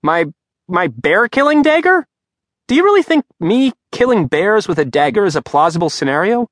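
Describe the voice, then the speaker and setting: A man doing a frantic, squeaky sarcastic young man. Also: sing-song, a bit bright, no echo, studio quality. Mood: panicky. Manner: rapid indignant babbling, voice rising high. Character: flustered sarcastic young man, rambling nervously.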